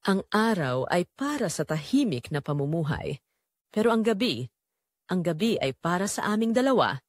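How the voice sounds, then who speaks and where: A woman with a Filipino accent narrates a dramatic scripted story in the first person, in deliberate, emotive short phrases. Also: lowish voice, no room sound, clean studio sound.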